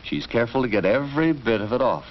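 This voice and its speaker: A man's voice: mid-range voice, quick pace. An American man narrating a 1940s educational film from a script, in an instructive, storytelling manner. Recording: dull vintage film soundtrack, faint noise.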